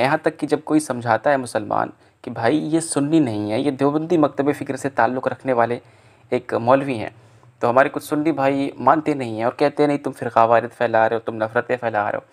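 A man's voice: mid-range voice, fairly quick. Man giving admonishing religious commentary. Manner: admonishing, explanatory. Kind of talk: polemical commentary.

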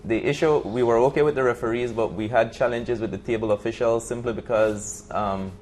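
A man's voice: mid-range voice, steady tone, a little fast, a little mellow, a little hiss or hum. A man answering as a guest in a studio interview, searching for words as he goes. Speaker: basketball league official, interview guest. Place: TV studio set, indoors. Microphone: lapel.

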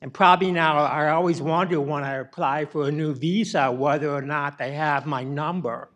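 A man's voice: fairly high pitch, steady tone, normal speed, a bit bright. A middle-aged man asking a question from the audience, rambling and tagging his sentences with okay and all right. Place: conference ballroom. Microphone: handheld mic.

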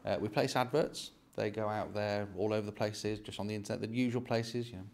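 A man's voice: on the low side, fairly even intonation, a little fast, vocal fry. A British man in his forties explaining things casually to camera as a property vlog host, recorded on a handheld phone indoors in an empty house. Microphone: handheld phone at arm's length.

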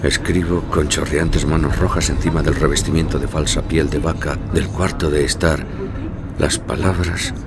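An actor playing a gruff, weary police commander in an audio drama, terse and brooding. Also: male voice, extremely low pitch, level tone, normal speed. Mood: absorbed reading.

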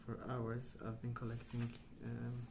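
A man speaking softly and breathily in an ASMR style, often near a whisper, slow and calm with hesitant pauses between short phrases. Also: medium pitch. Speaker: ASMR host. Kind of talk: soft-spoken rambling ASMR. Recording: clear background noise.

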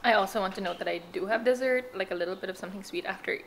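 A woman's voice: mid-range voice, very fast, gravelly, loud music behind the voice. A woman in her twenties vlogging casually at home, chatty and giggly, talking into a handheld camera in her kitchen. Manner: chatty, giggly, with frequent "like" fillers. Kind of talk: casual vlog chat. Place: home kitchen and dining room, indoors. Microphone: handheld camera held at arm's length.

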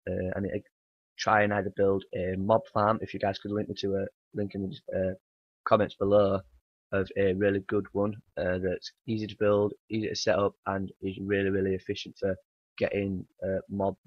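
A man with a croaky morning voice, rambling through casual gameplay commentary with many ums and uhs. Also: low-pitched, dry recording. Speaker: gaming channel host. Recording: dry, dull lo-fi home mic.

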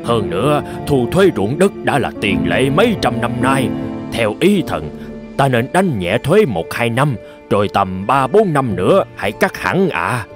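A man voicing a cringing, evasive official in an animated folk tale, hesitant and obsequious, with music behind. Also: medium pitch, unhurried. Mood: nervous, servile. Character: cringing, evasive male official bowing to his ruler.

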